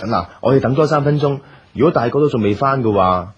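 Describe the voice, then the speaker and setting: Peacemaking middle brother, hong kong cantonese, raspy mid voice, hesitant, radio drama, kinda dull audio. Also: male voice, medium pitch, lively intonation, quick pace. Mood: earnest, concerned. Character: responsible, conciliatory second brother in family firm.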